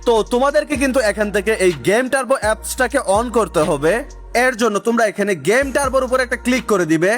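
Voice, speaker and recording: A man's voice: very high-pitched, lively intonation, fast talker. A man narrating a gaming tutorial, speaking directly to viewers in an instructional way. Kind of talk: energetic scripted tutorial narration. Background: music.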